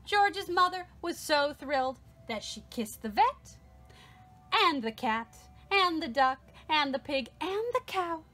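A woman hosting storytime, reading a picture book aloud to children in an expressive way, drawing out repeated words. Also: sits high, soft background music. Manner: expressive, drawn-out repetitions. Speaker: storytime host.

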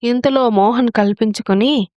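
A woman narrates a story in a fairly high voice. It is scripted storytelling, recorded dry with no room sound. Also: moderate pace, darkish tone, dry recording, muffled recording.

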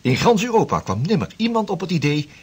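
An actor playing an ardent young sea explorer, tender with his betrothed, in radio drama with a husky, impassioned delivery. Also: sits high, sing-song, a little fast, a little mellow, full character voice. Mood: fervent. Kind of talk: Dutch radio drama acting.

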